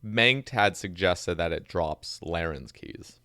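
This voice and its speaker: A man's voice: low-pitched, animated, slow, sharp tone, no room sound, clean studio sound. A young man hosting a podcast, chatting casually and reading out listener questions. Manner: easygoing, reads listener questions. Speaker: podcast host.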